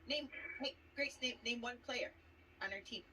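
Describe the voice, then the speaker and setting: Woman in a heated argument, sharp bright voice, fairly high, bit far from mic with faint noise. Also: measured pace. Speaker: team manager on a show. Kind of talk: heated wrestling-style confrontation.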